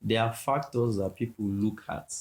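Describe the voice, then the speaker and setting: A man's voice: on the low side, moderate pace. A man, a pastor talking casually on a podcast, repeating his points and often asking "you get?".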